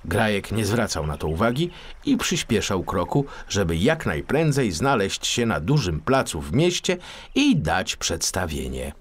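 A man narrating a scripted children's fairy tale audiobook in an expressive, theatrical storytelling manner. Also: lowish voice, a little slow, husky.

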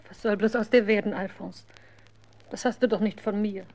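A woman's voice: medium pitch, fairly even intonation, moderate pace, a little mellow, close to an everyday voice. A woman playing a worried, reproachful mother of a naughty boy in a children's radio play.

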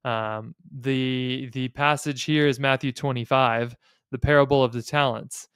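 An American man talks as a podcast host, explaining ideas in a thoughtful, measured way. His voice is smooth and mid-pitched, and it sits close on a studio mic with very little room sound. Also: fairly even intonation, a bit bright, no echo. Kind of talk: explanatory podcast talk on personal finance and faith. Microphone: close studio mic on a boom arm.

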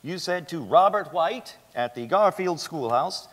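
A man playing a methodical 1890s defence lawyer in a dramatic stage reading, reciting formal questions deliberately. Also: a little high, expressive, fairly bright tone. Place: large theatre stage, indoors. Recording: echoey theatre hall.

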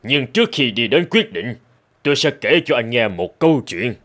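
A man playing a stern, resolute middle-aged president in a cartoon, speaking with deliberate authority. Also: medium pitch, very animated, fairly bright tone. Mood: thoughtful. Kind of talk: cartoon drama acting, storytelling.

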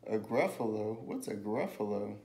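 A man's voice: lowish voice, animated, normal speed, mellow, natural voice. A man voicing a genteel, easily alarmed owl in a storybook reading. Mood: curious. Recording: echoey room with faint noise.

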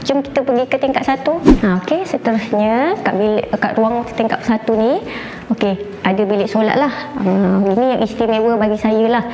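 Malaysian woman, higher-pitched, showing her home. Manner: chatty and hesitant, pausing often with "ah" and searching for words. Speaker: homeowner giving a house tour. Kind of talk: casual guided home tour to camera. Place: indoors, rooms of a furnished house. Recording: slightly dull sound with faint background noise.

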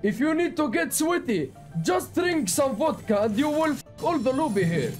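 A swaggering comrade who brags about vodka in mock-broken English, as a man in a game chat puts him on. The pitch swings widely, and the tone is bossy and theatrical. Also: huge pitch swings, fairly quick, loud music behind the voice, heavily acted. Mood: insistent. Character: boastful vodka-loving male Russian comrade. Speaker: gamer in voice chat.

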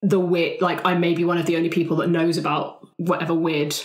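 A woman's voice: lowish voice, level tone, brisk. A woman chatting casually as a player in a roleplaying group, brainstorming characters. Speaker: player in a tabletop roleplaying podcast. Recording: roomy, echoey sound.

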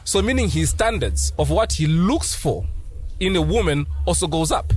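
A young man co-hosting a podcast who argues his points hard, speaking casually on a boom mic at an outdoor night set. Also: expressive, moderate pace, breathy edge. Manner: argumentative, presses his points. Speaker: podcast co-host. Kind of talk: casual debate. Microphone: headphones and studio boom mic.

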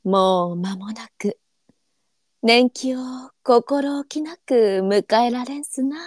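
A woman playing a gentle, unflappable senior courtesan in a period audio drama, teasing and speaking in archaic courtesan dialect. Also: on the high side, slow, no room sound, clean studio sound. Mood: gentle, composed.

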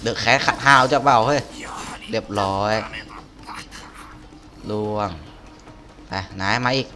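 A man's voice: lowish voice, takes it slowly. A man giving casual, slangy, exclamatory gameplay commentary, often talking to himself as he plays. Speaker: gaming video host playing through a game.

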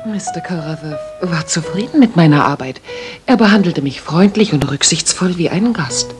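An actress playing a proper young Victorian lady in a radio play, polite and measured, with a husky, breathy voice. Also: on the low side, animated, over music, close to an everyday voice. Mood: reserved, confiding. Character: proper, modest young Victorian lady client, firm when pestered. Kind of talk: dramatic German radio play acting.

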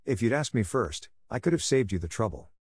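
A man's voice: medium pitch, animated, fairly quick, a little mellow, slightly rough, no echo. A man reading scripted example sentences aloud as prompts for a language test.

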